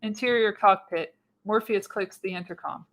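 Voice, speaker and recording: A woman's voice: medium pitch, normal speed, fairly bright tone, rough recording. A woman reads scene directions as narrator of a radio play, in scripted narration with an occasional stumble.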